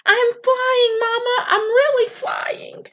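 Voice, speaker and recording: A woman voicing an eager young male gosling in a storybook reading, with a high squeaky childlike voice. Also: level tone, takes it slowly, a bit bright, lo-fi sound, a little hiss or hum, full character voice. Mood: excited.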